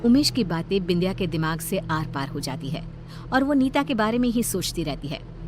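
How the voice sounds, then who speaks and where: A woman narrating a scripted animated story. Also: fairly low pitch, normal speed, background noise.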